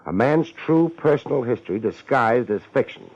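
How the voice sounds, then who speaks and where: A man's voice: mid-range voice, lively intonation, moderate pace, darkish tone. A man playing a cocky, hard-boiled private detective with slangy, sardonic delivery in a 1950s radio drama. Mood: assured, decisive. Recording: dull old radio recording.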